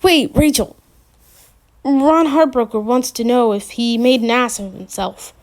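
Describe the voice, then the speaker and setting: A woman's voice: fairly high pitch, measured pace, darkish tone, natural voice. A woman voicing an awkward teenage boy who puts on a hammy announcer voice, then turns meek and unsure. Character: awkward teenage boy doing a pompous radio host bit.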